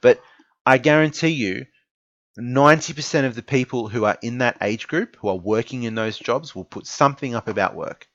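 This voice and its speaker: A man's voice: mid-range voice, normal speed, a bit bright, no room sound. Aussie guy late 20s, earnest opinion rant, repeats stuff for emphasis, dry kinda muffled audio. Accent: Australian. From Australia. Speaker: esports content creator giving an opinion piece. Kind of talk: informal opinion monologue.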